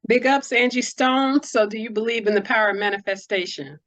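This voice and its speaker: A woman's voice: fairly high pitch, fairly quick, fairly bright tone. A woman hosting a casual interview, asking questions in a playful, informal way. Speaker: interviewer, host.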